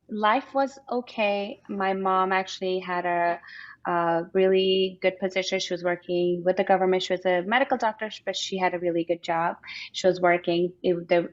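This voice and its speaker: A woman's voice: lowish voice, dull audio. A woman in her thirties from Afghanistan and Pakistan, giving an informal, explanatory personal account as an interview guest. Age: 30s.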